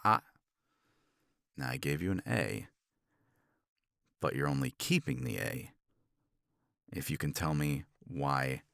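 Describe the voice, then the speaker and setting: A blunt, proud college professor, acted by a man for a romance ASMR roleplay, with a very deep, creaky voice. He talks slowly and articulately with a sardonic, halting edge, recorded close and dry. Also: a bit bright, no room sound, full character voice. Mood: probing, grudging praise. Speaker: voice actor in audio roleplay.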